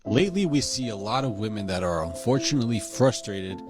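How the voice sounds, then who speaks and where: A man calmly putting a question as interviewer. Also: on the low side, brisk, music in the background. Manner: calm questioning.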